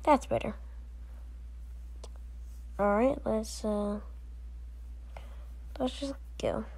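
Slow-paced woman acting out animation lines. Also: fairly high pitch, creaky, darkish tone, husky. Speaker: amateur voice actor. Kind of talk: acted voicelines for a fan animation.

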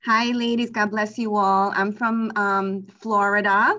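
A woman in her forties with a New York accent, talking on a video call in an animated, devout way. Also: medium pitch, unhurried, lo-fi sound. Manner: animated, devout, exclaiming praise. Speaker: guest participant. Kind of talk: casual video call chat. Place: room indoors. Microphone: video call webcam.

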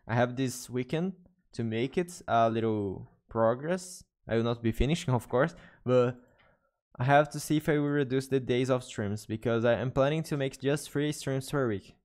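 A young Brazilian man with a Portuguese accent chats with his stream in English, pitched at an ordinary middle height for a man. He hesitates, repeats words and restarts phrases as he reads chat. He talks close to a desk mic in his bedroom setup. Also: moderate pace, a little mellow. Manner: hesitant, self-correcting non-native English. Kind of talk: casual live-stream chat with viewers. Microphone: studio mic on desk with headphones.

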